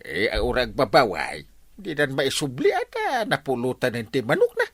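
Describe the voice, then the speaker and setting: A man's voice: medium pitch, huge pitch swings, moderate pace, fairly bright tone, dry recording, exaggerated voice. An actor playing a village man who teases his angry friend and keeps laughing, in a radio comedy drama. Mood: teasing. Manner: teasing, laughs a lot. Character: chuckling male friend of the angry husband.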